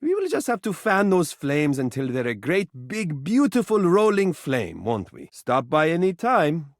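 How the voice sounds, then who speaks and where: A male actor voicing a suave living mirror in a video game, an adoring flatterer who gushes with theatrical drama. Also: fairly high pitch, a little slow, dry recording, clean studio sound, full character voice. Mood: warm, admiring. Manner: effusive, dramatic, gushing, doting. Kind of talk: video game character voice acting, romantic.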